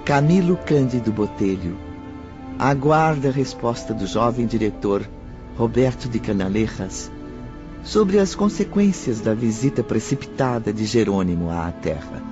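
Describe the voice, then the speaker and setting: A man's voice: medium pitch, expressive, measured pace, dull audio, over music, lightly acted. A man narrating a radio drama from a script.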